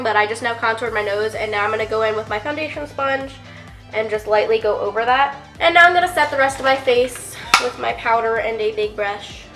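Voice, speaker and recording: A young woman with a congested, cold-stuffed voice, chatting casually through a makeup tutorial with lots of fillers and the odd curse. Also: fairly high pitch. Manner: chatty, filler-heavy, offhand swearing. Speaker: beauty vlogger.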